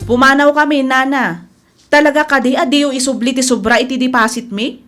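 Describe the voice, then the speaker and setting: A woman's voice: sits high, steady tone, a little fast, music in the background, lightly acted. A woman with an Ilocano accent playing an insistent, aggrieved young boarder in a radio drama.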